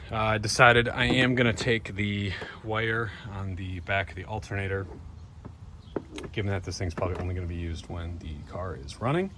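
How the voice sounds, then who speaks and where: A man's voice: lowish voice, a bit bright, a little hiss or hum. A man giving a casual, informal hands-on narration to viewers, thinking aloud as he works on a vehicle. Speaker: DIY car vlogger and host. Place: in and around a van.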